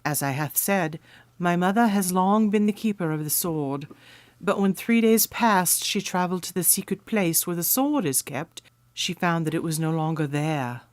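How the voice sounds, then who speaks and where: A woman's voice: fairly low pitch, expressive, moderate pace, dry recording, lightly acted. A woman playing a solemn, courtly noblewoman of about thirty in a radio drama, speaking in formal, archaic phrasing. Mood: grave, pleading. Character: solemn, courtly 31-year-old noblewoman guarding a royal sword.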